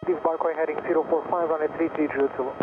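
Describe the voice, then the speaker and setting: A man's voice: a little high, flat delivery, very fast. A male pilot making procedural calls over an aircraft radio. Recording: tinny, noisy aircraft radio.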